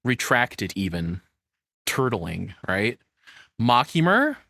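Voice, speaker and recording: A man's voice: mid-range voice, sing-song, slow pace, gravelly, sharp tone, no room sound, studio quality. A man streaming casual live reaction commentary, rambling and joking crudely as he goes.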